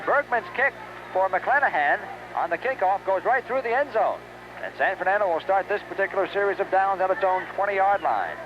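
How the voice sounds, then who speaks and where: Male American play-by-play announcer, high voice, live football radio call in clipped phrases, dull old broadcast sound. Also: lively intonation, fairly quick. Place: outdoors at a stadium. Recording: dull, noisy 1970s radio recording.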